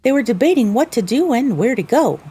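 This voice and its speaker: Woman reading a story in first person, mellow highish voice, very expressive pitch. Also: a little fast, slightly rough. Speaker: narrator.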